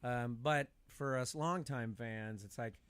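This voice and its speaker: A man with a mid-pitched voice talks casually, as in a podcast conversation. He is animated and emphatic, often restating a phrase to drive his point home. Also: takes it slowly, smooth, no echo. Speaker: podcast speaker. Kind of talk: casual chat about concerts as a fan.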